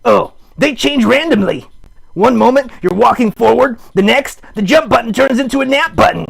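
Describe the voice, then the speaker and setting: Goofy puppet show host, played by a man: high-pitched, very raspy, playful and theatrical, improvised rambling. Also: animated, normal speed, heavily acted. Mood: playfully excited. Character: chatty goofy puppet hosting a live show. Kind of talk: improvised comic rambling to live chat.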